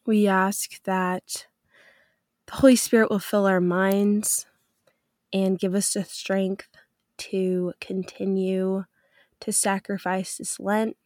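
A woman's voice: mid-range voice, little pitch movement, slow pace, a little mellow, no echo, clean studio sound. A young woman hosting a faith podcast, chatting upbeat and loose with many fillers, her voice stuffy from a cold. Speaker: podcast host. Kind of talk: casual faith podcast chat.

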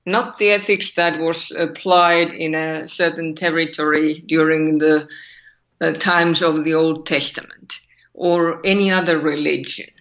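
Finnish-accented woman, slow and bright. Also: low voice, steady tone, highs cut off. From Finland. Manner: thoughtful, searching, asks rhetorical questions. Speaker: ethics scholar guest. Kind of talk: interview over a call.

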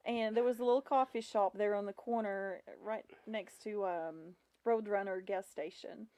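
A woman's voice: medium pitch, fairly even intonation, normal speed, a little mellow, no echo. A woman from Russia, a podcast guest with a Russian accent and a Southern US twang, telling stories in casual conversation.

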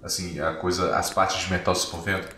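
A Brazilian man runs a tabletop game, his low voice bright and forward as he describes each scene with searching pauses. The room rings with echo, and soft music plays underneath. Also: flat delivery, a little hiss or hum. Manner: descriptive, hesitant pauses while narrating. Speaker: game master.

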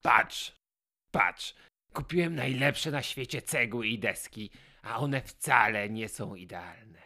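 A man voicing an anxious, self-pitying perfectionist crocodile builder in a children's audio fairy tale, theatrical and halting. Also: medium pitch, sharp tone, exaggerated voice. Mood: fretful.

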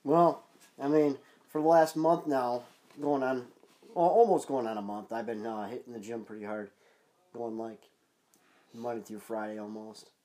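A man's voice: medium pitch, steady tone, moderate pace, warm tone. Stuffed-up man hosting a casual podcast. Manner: rambling, loose, many fillers and restarts. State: stuffed-up nose, nasal. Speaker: podcast co-host.

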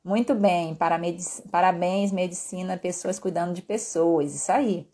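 Lowish-voiced Pará-accented woman doctor. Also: moderate pace, warm tone, a little hiss or hum. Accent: Brazilian Portuguese from Pará, mixed with Northeastern. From Pará, Brazil. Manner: friendly and explanatory, peppered with "tá?" and "né?" tags. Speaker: endocrinologist doctor hosting a live Q&A. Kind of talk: casual live-stream answers to viewer questions.

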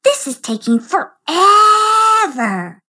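A whiny, excitable little girl with a squealing voice. She swings all over a very high register and drags out her complaints slowly. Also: full character voice. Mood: whining. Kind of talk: storybook reading.

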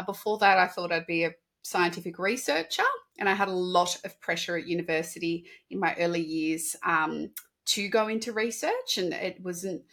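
Australian woman guest, chatty and animated, low-pitched, bright tone. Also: breathy edge. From Sydney, Australia. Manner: chatty, animated, with laughs and restarts. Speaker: dietitian, podcast guest. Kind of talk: casual interview talk.

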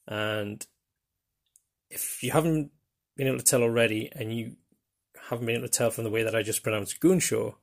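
An older man with a Geordie accent from northeast England, hosting his podcast in a rambling, self-deprecating way with many pauses and fillers. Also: mid-range voice, fairly even intonation, vocal fry. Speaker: podcast host. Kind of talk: casual monologue.

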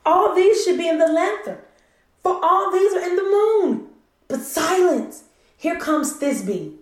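Woman playing a high-voiced, mocking courtly wit, roomy sound. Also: expressive, a little slow. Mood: teasing. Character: witty courtly spectator heckling a play. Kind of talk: Shakespearean radio play acting.

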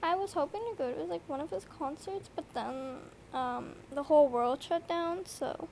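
High-voiced teen girl with a slight lisp. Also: moderate pace, a little mellow, slight background noise. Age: teens. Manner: rambling, swears often, lots of fillers. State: tired from lack of sleep. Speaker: podcast host. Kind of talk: casual solo podcast chat. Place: noisy bedroom. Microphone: earbud microphone.

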